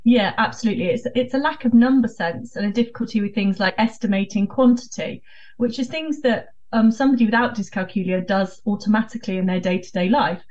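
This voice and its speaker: A woman's voice: medium pitch, brisk, a little mellow. A woman explaining things conversationally as an interview guest. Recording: distant, slightly dull remote sound.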